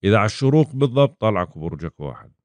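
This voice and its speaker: A man's voice: on the low side, big pitch swings, racing, darkish tone, no echo. A man gives a casual astrology lesson, acting out his clients' questions in quoted voices. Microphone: voice-over over chart screen.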